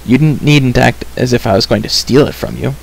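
An eager young adventurer from a fantasy storybook, read by a man. He sounds determined and straightforward, in a plain male voice of middling pitch. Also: fairly quick, vocal fry, rough recording. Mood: teasing. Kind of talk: audiobook character reading.

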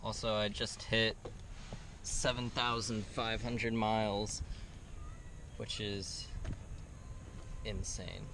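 A man's voice: fairly low pitch, little pitch movement, slow pace, long pauses. A young man vlogging on a road trip in a casual, rambling way with lots of fillers, recorded on an action camera in his car and by the sea. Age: young adult. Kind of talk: casual travel vlog. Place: inside a car and outdoors by the sea. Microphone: action camera on dashboard or held at arm's length. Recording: loud car and wind noise.

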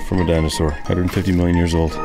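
A man in his fifties vlogging a full-time RV road trip, joking and lively. He talks to a phone he holds at arm's length outdoors. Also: low voice, fast talker. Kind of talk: casual travel vlog chat. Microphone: phone held at arm's length. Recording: music bed under the voice.

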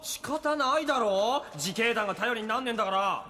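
An actor playing a brash, hot-headed young adventurer with rough, casual speech in an anime audio drama. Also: male voice, high-pitched, expressive, a little fast, pushed and bright. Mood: defiant. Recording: long hall reverb, noisy.

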